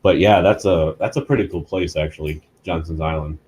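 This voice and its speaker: A man on a guest panel, telling stories in a dry, joking way with a husky voice. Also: a bass voice, steady tone, a little fast, darkish tone, a little hiss or hum. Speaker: guest investigator. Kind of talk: casual chat.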